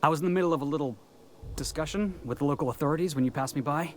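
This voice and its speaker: An actor playing an eager, cocky young American drifter in a video game, talking with earnest, stumbling bravado. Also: male voice, on the high side, racing, close to an everyday voice. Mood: cocky, pleading. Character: eager, cocky young male drifter seeking crew work. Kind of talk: video game character acting.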